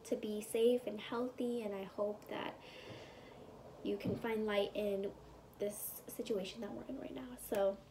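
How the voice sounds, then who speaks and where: A woman's voice: medium pitch, steady tone, unhurried, dark tone, a little hiss or hum, rough recording. A young woman chatting casually through a personal vlog update on her recovery, rambling with plenty of fillers and sometimes sounding congested. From California. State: congested and recovering after surgery, sometimes whispering. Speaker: vlogger.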